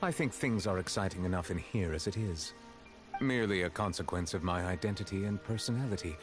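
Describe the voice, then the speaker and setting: An actor playing a composed, formal man of high authority in a video game, speaking in a calm, measured way. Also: close to an everyday voice. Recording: game audio with music behind.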